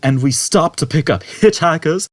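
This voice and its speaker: A man's voice: a little high, lively intonation, moderate pace, no room sound, pitched above the performer's own voice. A man voicing a conceited, irritable male space fugitive in an audiobook, with a complaining, emphatic manner.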